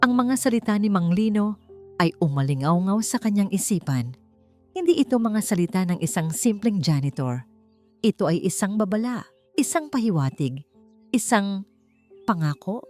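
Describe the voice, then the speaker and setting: A woman with a Filipino accent narrating a dramatic story in a suspenseful, deliberate way with pauses. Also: mid-range voice, animated, darkish tone. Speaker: audiobook narrator. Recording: music bed under narration. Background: background music.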